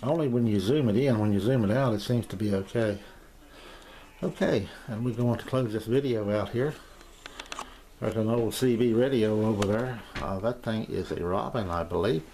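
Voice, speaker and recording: Low-voiced older man, rambling and hesitant. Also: normal speed, mellow. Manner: rambling, hesitant, repeats himself. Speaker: amateur radio hobbyist giving a shack tour. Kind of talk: casual, unscripted home video tour. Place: small home radio room, indoors. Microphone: handheld camera's built-in mic. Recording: handheld camcorder with clear background noise.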